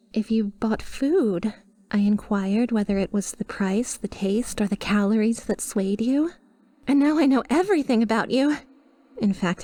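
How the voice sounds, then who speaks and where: A woman playing a sweet, possessively devoted guardian angel in a roleplay ASMR monologue, with soft, breathy, often whispered speech and formal, flowery wording. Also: medium pitch, no room sound, natural voice. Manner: formal, flowery, slow and adoring.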